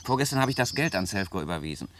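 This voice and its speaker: A man's voice: deep voice, big pitch swings, rapid-fire, fairly bright tone. An actor playing an eager, naive father and hobby builder in a German radio play, earnest and enthusiastic. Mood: excited. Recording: noisy archival radio play recording.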